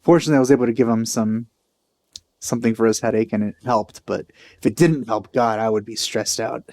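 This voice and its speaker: A man's voice: mid-range voice, steady tone, brisk, a little mellow. A man giving casual, musing let's play commentary on a video game, with empathy. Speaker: let's player.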